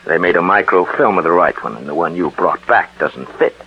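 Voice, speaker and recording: An actor playing a shrewd, plain-spoken police detective in a 1940s radio drama, measured and frank. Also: male voice, low-pitched, slightly rough. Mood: frank, pressing. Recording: muffled old 1940s radio recording.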